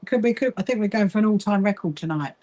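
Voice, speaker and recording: A woman's voice: medium pitch, animated, fast, dark tone. A woman from England's south coast with a mixed Kentish and Sussex accent, chatting casually with livestream viewers while she sews. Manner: chatty, greets viewers and answers their comments. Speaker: livestream host of a quilting association.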